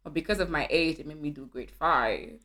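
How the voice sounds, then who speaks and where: Low-pitched woman from Nigeria, casual podcast co-host, storytelling, livens up when indignant. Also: brisk, a bit bright. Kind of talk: casual chat.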